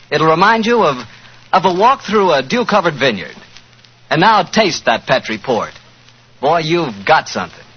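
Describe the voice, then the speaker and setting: Male American 1940s radio host, highish animated voice, husky edge, emphatic pitchman delivery, thin phone-like vintage recording. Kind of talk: 1940s radio sponsor pitch and scripted chat.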